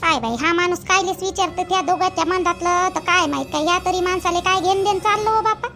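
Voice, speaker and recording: A woman's voice: very high-pitched, fast, sharp tone, faint music behind, heavily acted. A woman voicing an exasperated village mother in a cartoon, scolding in colloquial Varhadi Marathi and defending her son. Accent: Varhadi Marathi from Vidarbha. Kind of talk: Marathi cartoon family drama dialogue.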